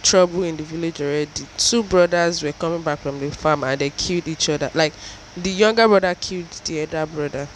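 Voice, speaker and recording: A woman's voice: low voice, fairly quick, noisy background. A young woman, narrating a casual vlog recap in an informal, explanatory storytelling way. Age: young adult. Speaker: vlogger.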